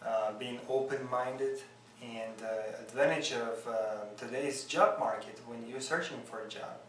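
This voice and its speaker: A man in his thirties with a Russian accent, a dentist speaking earnestly in interview answers, pausing often with fillers. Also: medium pitch, steady tone, recorded from a distance, a little hiss or hum. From former Soviet Union. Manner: earnest, hesitant with many fillers. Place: dental office reception area, indoors. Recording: roomy echo, off-mic, music behind.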